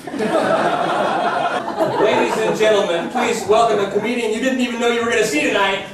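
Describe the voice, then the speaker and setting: A man's voice: high voice, steady tone, a little fast, over a noisy crowd, natural voice. A man playing a peppy, backhanded comedy club emcee, hyping acts on stage like a showman. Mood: peppy, hyped. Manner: showman's hype. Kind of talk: live stage introductions, sketch comedy. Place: live theatre stage with audience. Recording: distant, very echoey, noisy crowded theatre.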